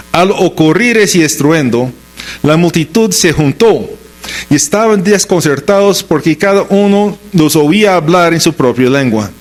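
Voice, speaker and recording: This is a man preaching a Sunday sermon in a second language, with an American accent from his native English. His voice sits a little high for a man. He moves between scripture and storytelling, posing questions and answering them with short, repeated phrases for emphasis. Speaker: pastor preaching.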